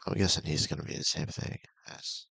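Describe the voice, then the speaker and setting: A man's voice: fairly quick, bright, forceful tone, no echo. A man hosting a visual novel playthrough, reading the game's text aloud and dropping in casual, muttered reactions. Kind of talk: reading game dialogue aloud with asides.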